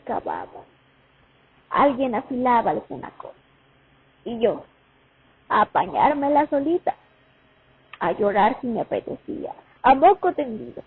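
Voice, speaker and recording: A woman's voice: a little high, breathy edge, exaggerated voice. A woman playing a young Soviet sniper haunted by her war memories in a radio play. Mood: anguished, regretful. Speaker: actor in a student radio drama. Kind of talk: dramatic first-person monologue, radio play. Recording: muffled phone-like recording.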